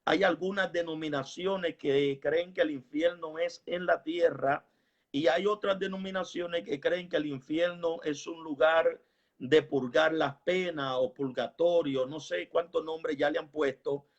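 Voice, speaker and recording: A man's voice: fairly high pitch, steady tone, measured pace. A man hosting a religious program in a devout manner, with exclamations of praise, heard through dull, lo-fi sound. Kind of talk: religious hosting, prayer and scripture reading.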